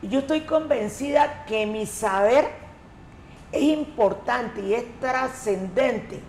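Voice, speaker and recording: A woman from the Colombian Pacific coast, an education coordinator answering interview questions in a measured, emphatic way. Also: a little high, darkish tone, a little hiss or hum. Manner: measured, emphatic, repeats key words. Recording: echoey room, faint ambience.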